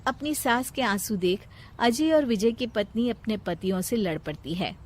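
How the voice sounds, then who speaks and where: A woman's voice: fairly high pitch, expressive, normal speed, a little mellow. A woman narrating a scripted moral story.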